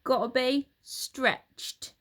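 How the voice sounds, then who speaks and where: A woman's voice: high-pitched, fairly even intonation, a bit bright, pitched above the performer's own voice, exaggerated voice. A woman voicing a sly, cruel old husband from a children's storybook, mocking and drawing out words with emphasis. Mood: grim, mock-solemn. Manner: emphatic, drawn-out, taunting delivery.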